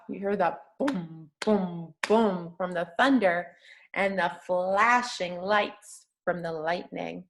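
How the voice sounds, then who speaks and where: A woman reading a picture book aloud to young children, talking simply and encouragingly and often putting questions to them. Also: medium pitch.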